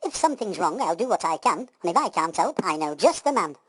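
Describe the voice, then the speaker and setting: A woman's voice: fairly low pitch, sing-song, a little fast, fairly bright tone, breathy edge, exaggerated voice. A woman voicing a sassy, helpful good fairy in a pantomime, speaking in theatrical rhyme. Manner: theatrical rhyming delivery.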